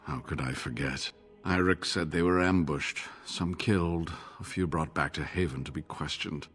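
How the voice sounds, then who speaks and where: An actor playing an older, injured male scholar in a video game, weary and sarcastic, talking in a measured way. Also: very deep voice, a bit bright, breathy edge, full character voice. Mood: bitter. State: injured, exhausted. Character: older male scholar, wounded captive, wry. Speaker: game character.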